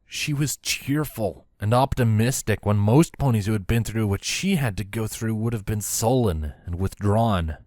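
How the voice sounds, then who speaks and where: A man's voice: on the low side, normal speed, hardly any pauses, a little mellow, no echo, clean studio sound. A man reading a fan fiction story aloud as scripted third-person narration.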